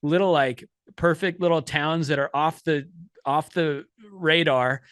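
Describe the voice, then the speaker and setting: A male podcast host with a fairly high voice, talking in loose, meandering sentences packed with "you know" and "like" and frequent restarts. The sound is close and dry, with no room around it. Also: fairly even intonation, measured pace, a bit bright, clean tone, no room sound. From United States. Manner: rambling, many fillers and restarts. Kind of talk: casual podcast conversation.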